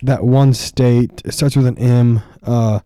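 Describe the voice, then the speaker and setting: Deadpan young man with mellow voice. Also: medium pitch, slow pace, clear, resonant tone, no room sound, studio quality. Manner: deadpan, mock-serious teasing. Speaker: podcast co-host. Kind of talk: casual comedic chat.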